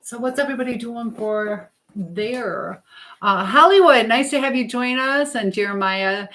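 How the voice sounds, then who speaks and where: A woman's voice: a little high, unhurried, fairly bright tone. A woman hosting a casual live stream as she cooks in a home kitchen, chatting in a meandering way and greeting viewers. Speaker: livestream host. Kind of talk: casual live-stream chat while cooking, reading out comments.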